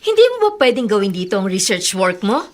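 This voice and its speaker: An actress playing a strict, protective, worried older sister in a Tagalog radio drama, questioning earnestly with a Filipino accent. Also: female voice, medium pitch, big pitch swings, fast, darkish tone, lightly acted. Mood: suspicious.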